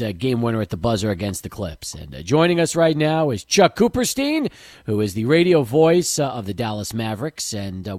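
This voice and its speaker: A man hosting a sports radio show, energetic, setting up segments and asking guests questions. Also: on the high side, no room sound, studio quality.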